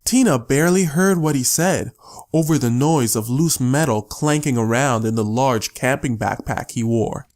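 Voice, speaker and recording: A man's voice: medium pitch, moderate pace, darkish tone. A man reading scripted story narration as the narrator of an audiobook.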